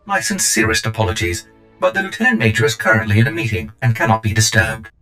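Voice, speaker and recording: A man's voice: fairly low pitch, completely flat delivery, quick pace, bright, forceful tone, faint music behind. A man playing a fussy, polite receptionist droid in a video game, speaking formally and obsequiously. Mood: unctuous politeness. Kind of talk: video game cutscene acting.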